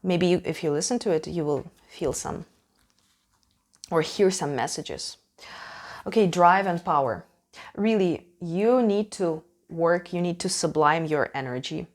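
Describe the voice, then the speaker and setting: Lower-pitched woman with a Russian accent. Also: unhurried. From Ukraine. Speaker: tarot reader hosting a channel. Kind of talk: casual spoken tarot reading to viewers.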